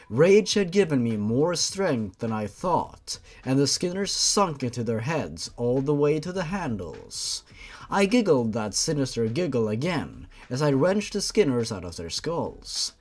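A man reading fan fiction aloud as the host of a series, in a dramatic, mock-serious storytelling manner. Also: fairly high pitch.

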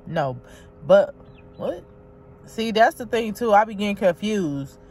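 A woman vlogging from inside her car, reading aloud haltingly and chatting straight to her viewers. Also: mid-range voice, expressive, a little mellow. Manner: halting read-aloud, stumbles and restarts; chatty, talks directly to viewers. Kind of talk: casual vlog, reading aloud.

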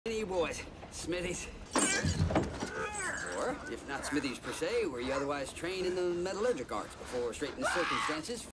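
A man's voice: a little high, expressive, moderate pace, shouting, lightly acted. A man playing a long-winded escaped convict who talks in grand, pompous phrases, heard in a film clip with noisy outdoor sound. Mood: smooth-talking. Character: fast-talking wordy escaped convict man.

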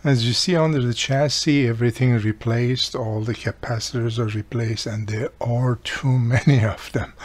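A man with a husky, gravelly voice, explaining a hands-on repair in a plain-spoken, informal way. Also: mid-range voice, darkish tone, no room sound. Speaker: host of a radio repair how-to series.

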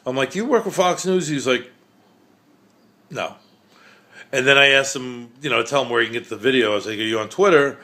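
A man's voice: mid-range voice, fairly quick, long pauses, bright, forceful tone, no room sound. Chatty New York man filming on a camcorder. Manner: chatty, blunt, self-mocking. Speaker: vlogger filming a stranger. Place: outdoors in a city park, then indoors at home. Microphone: handheld camera. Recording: outdoor camcorder audio with faint background noise.

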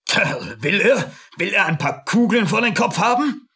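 Radio play casting: a blustering captain, sharp and raspy, pompous and easily rattled. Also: male voice, sits high, lively intonation, unhurried, full character voice. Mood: blustering, wounded. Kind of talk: German radio play acting.

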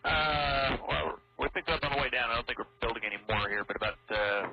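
A man's voice: mid-range voice, fairly quick. A man reporting as an airline pilot in clipped phrases over cockpit radio. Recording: noisy muffled cockpit radio.

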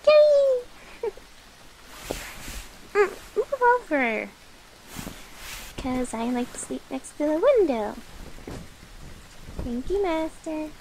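A woman playing an affectionate, sleepy young cat-girl familiar in a soft, coaxing, playful ASMR roleplay. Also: very high voice, slight background noise, exaggerated voice, poor audio. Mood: excited, playful.

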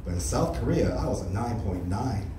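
A young American man doing a casual stand-up set on a small club stage, with a deadpan delivery and frequent "right?" and "you know?". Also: fairly low pitch, normal speed, noisy background. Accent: General American. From United States. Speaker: stand-up comedian. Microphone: handheld mic on stand. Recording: roomy club sound from a far camera.

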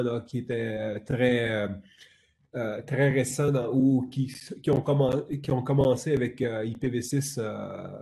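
A middle-aged man introducing himself on a video call panel in a hesitant, halting way. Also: medium pitch, warm tone. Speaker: panelist, senior technical director. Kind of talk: unscripted self-introduction on a panel.

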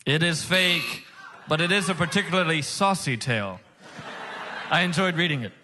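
A man's voice: on the high side, normal speed, background noise. A man hosting a comedy game show on stage, with a showy, emphatic announcer delivery. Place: stage before a live audience.

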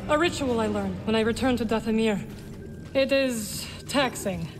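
A woman plays a solemn young warrior-sorceress in a sci-fi game. Her voice is fairly high, with formal, deliberate phrasing. It rings in a reverberant hall over loud music. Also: lightly acted. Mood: guarded, composed. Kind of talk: video game cutscene acting.

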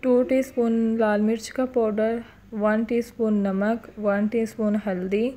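A woman calmly narrating a home cooking recipe step by step as host of her cooking channel. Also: a little high, unhurried.

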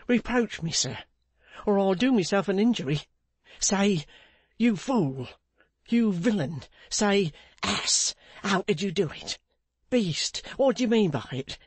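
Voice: medium pitch, lively intonation, slow pace, breathy edge, no echo, voice pushed up. A reader voicing a frantic, remorseful man in an audiobook, exclaiming and pleading deferentially as he punishes himself. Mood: frantic self-reproach. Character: frantic remorseful man, agitated, self-punishing, begging for reproach. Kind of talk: audiobook character acting.

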